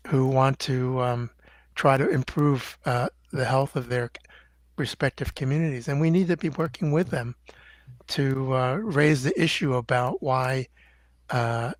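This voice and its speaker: Halting older man, dry close sound. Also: mid-range voice, dry recording. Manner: measured, halting with many fillers. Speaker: doctor, guest. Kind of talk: interview answers.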